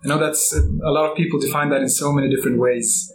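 A man hosting a podcast, reading a guest introduction steadily and then asking questions with soft backchannel replies. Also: fairly high pitch, steady tone, fast talker, slight background noise, poor audio. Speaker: podcast host. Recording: faint music behind.

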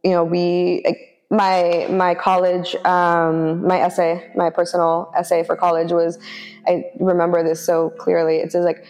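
A woman's voice: fairly low pitch, completely flat delivery, measured pace, a little mellow, long reverb tail. A woman from Oakland, California, talking as a podcast guest in a reflective way, with many fillers and restarts and easy laughs. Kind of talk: casual podcast conversation.

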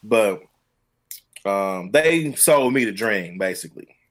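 A man's voice: lowish voice, animated, slow. A man with an American accent casually telling stories and giving advice in an emphatic, colloquial way as a guest musician. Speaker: musician and producer, podcast guest.

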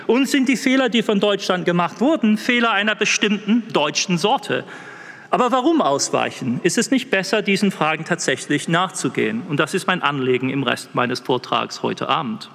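Male guest lecturer, English accent, non-native German speaker, formal prepared talk, voice a touch high, reverberant hall. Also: lively intonation, vocal fry, a bit bright. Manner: measured, reads a prepared text with occasional slips.

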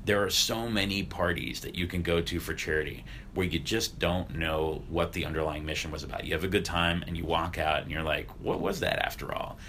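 A man's voice: low-pitched, a little fast, few pauses, fairly bright tone, a little hiss or hum. A man hosting a casual chat in an upbeat, enthusiastic way. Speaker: podcast host, charity staff.

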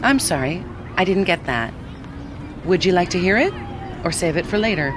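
An actor voicing a helpful AI seeing-eye app assistant in an audio drama, with a polite, measured, instructive delivery. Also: medium pitch, big pitch swings, background noise, lightly acted. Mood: polite, informative. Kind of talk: audio fiction acting, synthetic assistant delivery.

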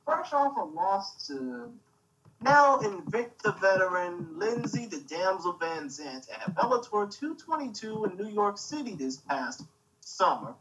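A man's voice: sits high, fairly bright tone, distant mic, highs cut off, slight background noise. A man co-hosting a casual podcast chat, swearing freely with dry teasing. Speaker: podcast co-host.

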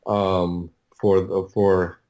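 Halting, low-pitched middle-aged man. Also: level tone, a little mellow. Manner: halting, repeats and restarts words, rambling. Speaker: audio producer, interview guest. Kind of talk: casual interview storytelling.

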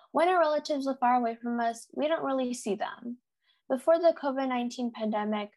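A teenage American young woman co-hosts a youth podcast from a script. Her voice sits fairly high for a woman and has a noticeable creak at the edges. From United States.

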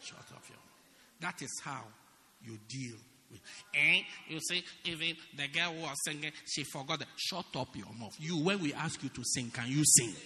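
A man preaching a dramatic sermon as pastor in a church, swinging from loud shouts to quiet asides. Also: a little high, normal speed, big-room sound.

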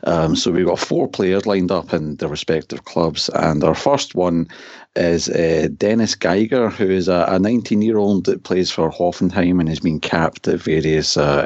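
Deep-voiced Scottish man hosting a podcast. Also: normal speed, darkish tone, no echo. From Scotland. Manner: hesitant, many fillers. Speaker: podcast host. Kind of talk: casual podcast chat. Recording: dull lo-fi sound.

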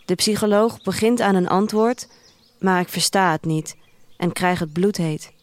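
An actress playing an introspective young woman who narrates her life in a dystopian future, in measured, deliberate first-person literary storytelling. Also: lowish voice, no echo, lightly acted. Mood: reflective. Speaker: narrator of a radio drama. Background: music.

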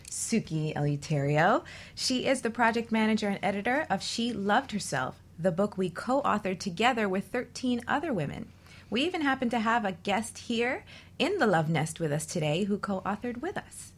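Female talk radio host, medium pitch, presenting semi-scripted segments in a coaching role. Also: very animated, normal speed, a bit bright, husky.

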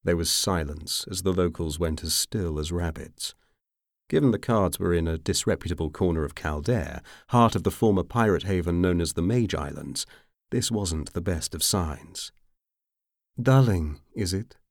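Low-voiced male audiobook narrator, close and dry. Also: moderate pace, gravelly, dry recording. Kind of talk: scripted fiction narration.

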